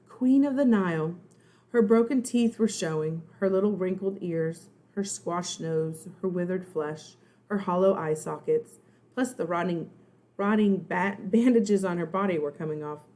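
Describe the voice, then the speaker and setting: A woman, a first grade teacher, narrating a children's storybook in an expressive, suspenseful, dramatic way. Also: on the low side. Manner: suspenseful, dramatic, pauses to explain words.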